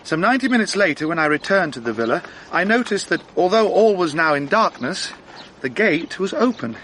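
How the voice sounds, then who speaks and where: An actor playing an earnest, dutiful French police sergeant in a radio drama, speaking formally as if giving a step-by-step report. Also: male voice, sits high, big pitch swings, brisk, fairly bright tone, slight background noise.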